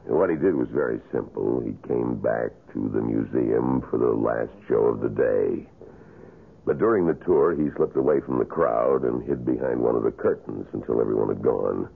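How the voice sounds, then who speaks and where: An actor playing a man calmly recounting what he witnessed, in a 1970s radio drama, gravelly and measured. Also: a bass voice, exaggerated voice. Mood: matter-of-fact. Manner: measured storytelling. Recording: muffled old radio recording.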